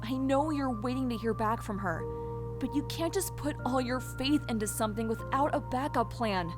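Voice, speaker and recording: A woman playing a practical young alien wife in an animated film, impatient but caring, scolding and pleading. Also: a little high, normal speed, natural voice. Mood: concerned, pleading. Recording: music under the voice.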